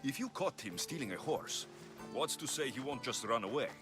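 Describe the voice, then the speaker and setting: Guy doing dry impatient greek mercenary from a game, mid pitched, lots of inflection, music under it. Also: lively intonation, normal speed, natural voice. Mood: dry, curious. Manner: blunt, dryly sardonic. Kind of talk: video game cutscene acting. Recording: music behind the voice.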